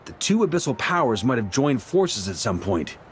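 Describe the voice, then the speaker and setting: An actor playing a relaxed, friendly knight commander who talks in a reflective way. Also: male voice, medium pitch, moderate pace, slight background noise, close to an everyday voice. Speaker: knight back from a long expedition. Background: soft game music.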